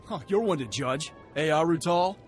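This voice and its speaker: An actor playing a proud, confrontational clan warrior in a video game, brusque and scornful toward outsiders. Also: male voice, fairly high pitch, moderate pace, faint music behind, close to an everyday voice. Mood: hostile, scornful. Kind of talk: video game cutscene acting.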